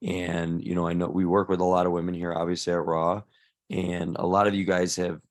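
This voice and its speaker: A man's voice: low-pitched, level tone, brisk. A man, a fitness coach, casually introducing a live workshop on a video call, rambling with many restarts and fillers.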